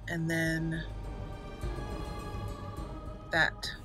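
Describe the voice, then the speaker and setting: A woman's voice: deep voice, monotone, very slow, bright, forceful tone, faint music behind. A woman hosting a gaming channel, giving casual, chatty let's play commentary as she talks aloud to the characters.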